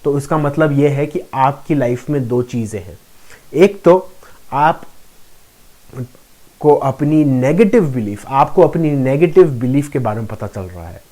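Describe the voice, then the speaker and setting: Mellow-voiced man coaching viewers. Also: medium pitch, a little slow. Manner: steady explaining tone, repeats key phrases for emphasis; mixes English phrases into Hindi. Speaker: law of attraction coach. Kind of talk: motivational talk to camera.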